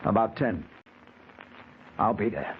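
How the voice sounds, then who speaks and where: A troubled hardboiled investigator from a radio noir. His voice is fairly low, brooding and earnest. The vintage recording is muffled and clearly noisy. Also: lively intonation, slow pace. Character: troubled, lovestruck hardboiled investigator narrating his own case. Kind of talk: old-time radio noir acting and narration. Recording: very noisy, band-limited old radio recording.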